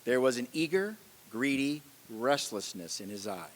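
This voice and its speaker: A man's voice: medium pitch, lively intonation, normal speed, lightly acted. A man narrating a radio play as a vivid, wry storyteller with dramatic delivery. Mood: storytelling. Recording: echoey hall.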